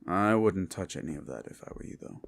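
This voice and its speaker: A man voicing a gruff, seasoned demon monster hunter in an action-horror audio roleplay, with a gravelly voice and a wry, laconic, protective manner. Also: low-pitched, expressive, a little fast, slight background noise. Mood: wry, relaxed.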